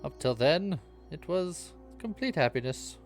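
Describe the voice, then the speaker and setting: A man's voice: fairly high pitch, expressive, measured pace. Give me a male streamer doing a dramatic read of game text with casual joking asides over game music. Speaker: streamer reading game dialogue aloud. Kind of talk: live game stream, dramatic reading mixed with joking chat.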